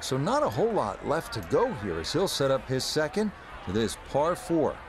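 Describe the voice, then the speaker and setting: A man giving smooth, measured golf play-by-play as a video game's broadcast commentator. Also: medium pitch, wildly swooping pitch. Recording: game commentary audio with noise behind.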